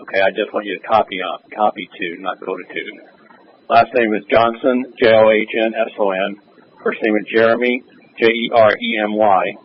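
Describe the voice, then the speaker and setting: A man's voice: on the low side, fairly even intonation, normal speed, lo-fi sound. A man, a police officer, speaking in clipped, procedural radio traffic over a police scanner. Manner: clipped, procedural, spells out names. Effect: police radio transmission. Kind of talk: terse radio dispatch traffic.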